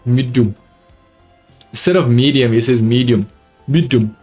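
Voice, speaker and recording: A young man streams live reactions to a motor race, talking in English that is not his first language, with an accent that comes close to British. He gets excited, repeats his own phrases and calls his viewers dude. Faint music plays low behind him. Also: a little slow. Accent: close to British, not fully. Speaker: livestream host watching a race. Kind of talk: casual live reaction commentary.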